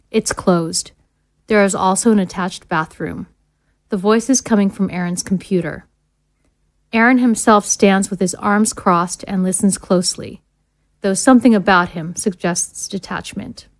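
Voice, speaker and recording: A woman's voice: mid-range voice, fairly even intonation, moderate pace. A woman reading scene directions as scripted narration for an audio drama.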